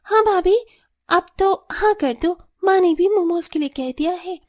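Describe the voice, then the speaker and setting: Cartoon young sister-in-law: very high, pleading, demanding, food-mad. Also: female voice, unhurried, darkish tone. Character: young sister-in-law, whiny, demanding, momo-crazy schoolgirl. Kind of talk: Hindi cartoon story acting.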